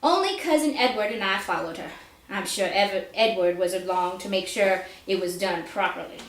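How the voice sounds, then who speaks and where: A woman reading a story aloud at a live indoor reading, narrating expressively and deliberately. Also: mid-range voice. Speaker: author reading own fiction aloud. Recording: echoey room live reading.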